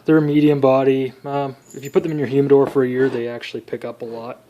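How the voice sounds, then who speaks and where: A young man giving a casual, unscripted demonstration, pausing as he thinks aloud. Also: mid-range voice, level tone, mellow, dull audio. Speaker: electronics student demonstrating a homemade radio project. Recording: noisy amateur home recording with clicks.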